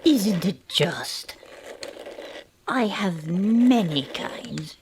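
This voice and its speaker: A woman's voice: medium pitch, expressive, drawn-out words. A woman playing a kindly, insistent old woman in a fairytale audio play, with a fussing, folksy manner. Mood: gentle, curious. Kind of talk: amateur audio play acting. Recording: faint background noise.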